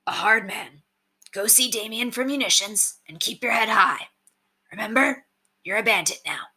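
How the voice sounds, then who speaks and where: A woman voicing a brisk, gruff male bandit leader in a storybook, with a commanding, folksy, clipped manner. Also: on the high side, bright, forceful tone, voice pushed up, full character voice, rough recording. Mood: approving.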